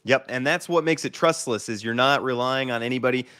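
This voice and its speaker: A man's voice: mid-range voice, fairly quick, pushed and bright, smooth. A man hosting a livestream interview, upbeat and encouraging as he reads out audience questions. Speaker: livestream host.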